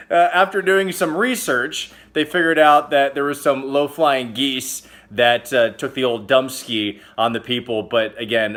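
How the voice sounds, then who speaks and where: Joking male host of a news roundup. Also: medium pitch. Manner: joking, chatty, with sudden loud exclamations. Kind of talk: casual comedic news roundup.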